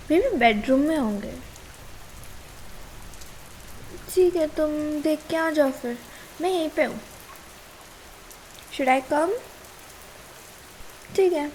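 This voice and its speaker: A young Indian girlfriend, played by a woman in an ASMR roleplay, with a high-pitched voice and slow delivery. She is caring yet suspicious and quick to nag, in a casual way. Faint hiss and rain sit behind her. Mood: gentle, caring. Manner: casual, nagging, switches between two languages. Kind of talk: ASMR girlfriend roleplay, Hindi mixed with English.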